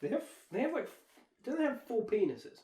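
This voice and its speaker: A man's voice: very high voice, big pitch swings, fairly quick, dark tone. A man bantering casually as a podcast host, teasing and wisecracking. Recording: echoey room.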